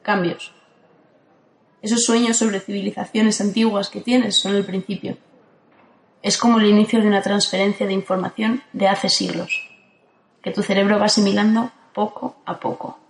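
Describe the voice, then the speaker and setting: A woman from playing a calm, ageless guardian who speaks in a steady, firm way in an audio drama. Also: mid-range voice, moderate pace, gravelly, pauses often, a little hiss or hum, lightly acted. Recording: roomy echo.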